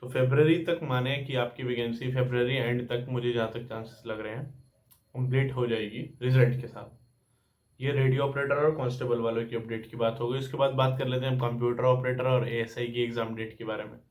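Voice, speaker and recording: A man's voice: medium pitch, level tone, a little fast, darkish tone. A young man giving informal updates and advice as a channel host, explaining point by point and mixing in English words. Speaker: exam-news channel host.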